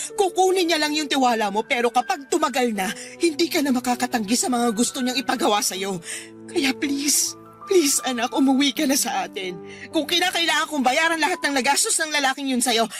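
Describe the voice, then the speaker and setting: Radio drama role: earnest, pleading Filipino mother, fairly high voice. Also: expressive, fairly quick, faint music behind. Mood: pleading, worried. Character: pleading, worried middle-aged mother of a grown daughter.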